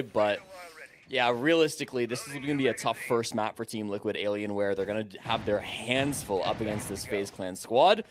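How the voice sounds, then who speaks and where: A man's voice: mid-range voice, slight background noise. Upbeat Canadian male esports caster. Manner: upbeat, good-humored analysis. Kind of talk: live esports commentary.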